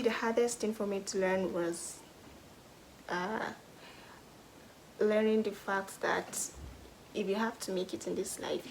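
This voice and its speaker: A young Nigerian woman answering get-to-know-me questions in a casual vlog, thoughtful, pausing often and sometimes dropping to a whisper. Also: mid-range voice, a little hiss or hum, low-quality recording. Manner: thoughtful, pauses with "hmm" and "um", drops to a soft whisper at times. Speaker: vlogger.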